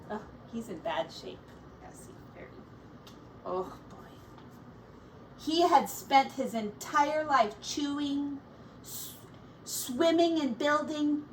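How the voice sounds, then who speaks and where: A woman teacher reading a children's picture book aloud expressively, pausing to explain words and comment on the pictures. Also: high-pitched. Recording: distant mic with faint background noise.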